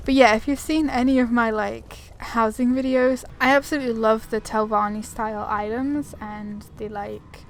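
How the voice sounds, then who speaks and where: A woman's voice: fairly high pitch, fairly even intonation, normal speed, hardly any pauses. A woman giving casual, chatty commentary on a game playthrough, wandering off on tangents and reading dialogue aloud. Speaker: gaming streamer. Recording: faint background noise.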